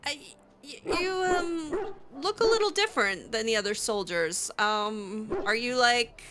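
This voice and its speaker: A woman's voice: fairly high pitch, animated, measured pace, heavy background noise. A woman improvising in character during a video game playthrough, chatty and hesitant with a cutesy touch. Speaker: roleplay gamer voicing her character.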